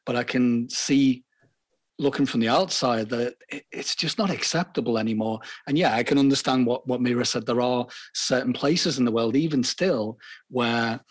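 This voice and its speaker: A man taking part in a casual panel discussion, speaking in a measured, reflective way. He thinks aloud at a middle pitch.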